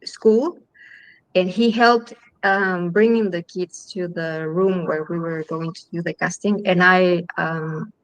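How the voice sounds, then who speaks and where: A woman filmmaker from Chile with a Chilean Spanish accent, speaking English in a halting way full of ums and restarts. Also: lowish voice, steady tone, rough recording. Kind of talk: festival Q&A talk.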